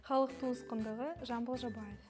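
A woman's voice: a little high, fairly even intonation, moderate pace, over loud music. A woman in her twenties from Kazakhstan answering interview questions lightly, with a lapel mic. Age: 20s. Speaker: show participant. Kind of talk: casual interview. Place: studio with grey backdrop.

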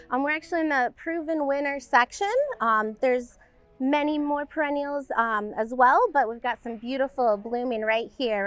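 A woman's voice: high voice, fairly bright tone. A woman in her thirties, a garden center staff member, chatting casually and upbeat with lots of "um" fillers as she walks through the plants outdoors.

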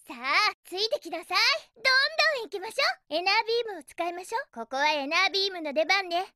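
A feisty young hedgehog girl from a video game. The voice is extremely high, bright and girlish, recorded close and dry. The delivery is perky, emphatic and sassy, full of cheerful cartoon spirit. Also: no echo, exaggerated voice. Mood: confident, playful, then eager, encouraging. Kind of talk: Japanese video game voice acting.

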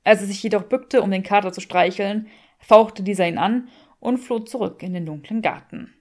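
A woman's voice: medium pitch, moderate pace, a little mellow. Woman narrating fiction with wry measure. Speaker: audiobook reader. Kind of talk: expressive scripted fiction narration in German.

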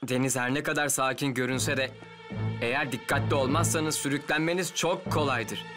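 Man as a calm cartoon fisherman dad. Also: mid-range voice, normal speed, close to an everyday voice. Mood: serious. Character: steady, cautious fisherman father. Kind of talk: animated cartoon dub. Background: music.

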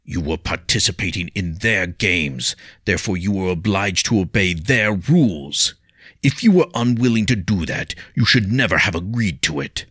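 A man voicing a stern older male weapons master with accented English, delivering measured, scolding rebukes. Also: sits low, animated, a bit bright, breathy edge, no echo, studio quality, full character voice.

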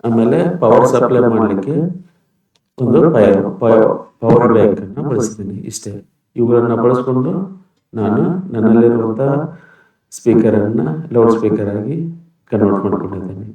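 A man's voice: lowish voice, steady tone, moderate pace, long pauses, dark tone, rough recording. A man presenting an informal step-by-step tutorial demonstration.

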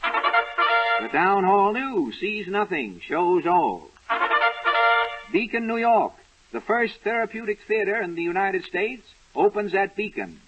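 A man's voice: high voice, big pitch swings, slow, a bit bright, dull audio, faint music behind. A man narrating a mock newsreel segment on a 1930s radio comedy show, dramatic and declaiming, on an old radio recording. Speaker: newsreel-style announcer.

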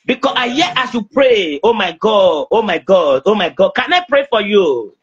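A young man speaking as a prophet praying over someone on a video call, insistent, halting and repeating himself. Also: high-pitched, big pitch swings, husky, highs cut off. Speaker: preacher, self-styled prophet. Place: small indoor room. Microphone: phone at arm's length on video call.

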